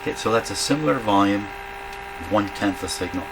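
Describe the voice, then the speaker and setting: A man giving an informal hands-on repair demonstration, thinking aloud in a halting way as he works. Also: lowish voice, gravelly. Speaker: radio repair hobbyist demonstrating. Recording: loud mains hum and workshop noise.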